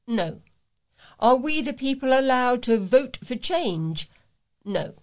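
A woman reads letters and local news aloud as a volunteer, phrasing things in a measured, deliberate way. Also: a little high, sing-song. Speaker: volunteer reader for a local talking newspaper.

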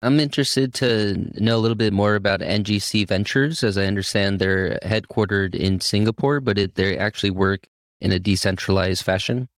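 A man's voice: lowish voice, normal speed, no room sound, clean studio sound. A man co-hosting a podcast, speaking in an eager, scripted way. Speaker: podcast co-host.

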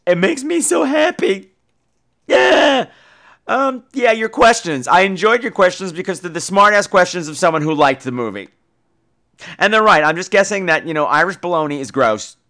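High-voiced, animated wisecracking man. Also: normal speed. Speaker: podcast host. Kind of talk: casual film chat responding to a listener call.

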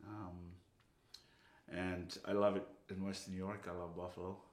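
Soft-spoken man from Fiji, roomy hall. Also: flat delivery, normal speed. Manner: soft-spoken, low-key. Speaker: singer-songwriter. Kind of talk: casual spoken intro between songs. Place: brewery barrel house. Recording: roomy brewery hall echo.

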